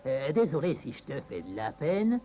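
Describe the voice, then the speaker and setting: An actor playing a cheeky male magic mirror in a cartoon, halting, wry and theatrical. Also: medium pitch, big pitch swings, mellow, faint music behind, close to an everyday voice. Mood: wry, mocking. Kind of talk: French-language cartoon dub acting.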